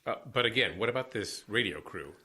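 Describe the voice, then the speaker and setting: A man's voice: lowish voice, expressive, brisk, pushed and bright, breathy edge, exaggerated voice. An actor playing a wary, probing man in an audio drama, terse and guarded.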